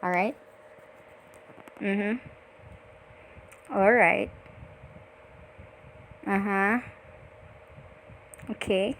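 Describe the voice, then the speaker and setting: A woman from the Philippines with a Filipino accent, speaking politely and deliberately as a virtual assistant on a scripted sales call. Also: medium pitch, steady tone, fairly bright tone, a little hiss or hum, poor audio. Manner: polite, deliberate, frequent acknowledging murmurs.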